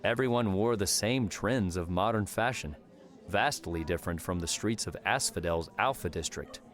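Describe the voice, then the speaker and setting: A man narrating a novel as an audiobook, in a measured storytelling manner. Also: sits low, fairly bright tone. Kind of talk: scripted fiction narration.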